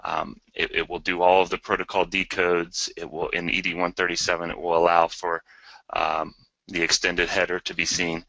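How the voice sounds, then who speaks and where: A man's voice: sits low, level tone, normal speed, sharp tone, no echo. A man presenting products in a webinar, speaking hesitantly and repeating words. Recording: dry but dull lo-fi webinar audio.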